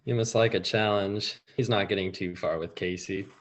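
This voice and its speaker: A man's voice: low voice, steady tone, normal speed, close to an everyday voice. A man playing a guarded, wry teenage boy at a script read-through, hesitant and understated. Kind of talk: table read of a film script over video call. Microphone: video call.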